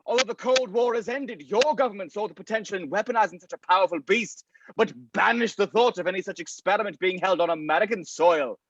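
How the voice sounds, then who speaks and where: A man's voice: sits high, fairly quick, a bit bright, no room sound, highs cut off. A man playing a cynical, condescending scientist with a foul mouth in a script table read. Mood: scornful. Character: cynical, derisive scientist called the Thinker, contemptuous and callous.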